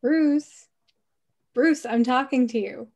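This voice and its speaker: A woman acts out a confident young woman in an animated film story. Her voice sits fairly high, and she speaks directly with a playful, teasing edge. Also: slow. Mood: insistent. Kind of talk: table read of an animated film script.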